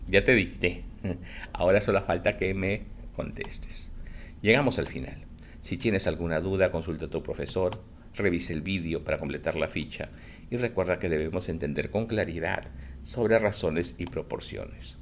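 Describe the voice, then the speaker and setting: Low-voiced guy teacher, slow patient math lesson for kids, asks stuff and answers it himself. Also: slight background noise. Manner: slow, patient explanations, asks and answers his own questions. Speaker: school teacher. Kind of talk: recorded math lesson for children.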